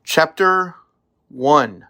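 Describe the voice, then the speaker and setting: A man reading scripted fantasy audiobook narration in a measured storytelling manner. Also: fairly high pitch, expressive, sharp tone.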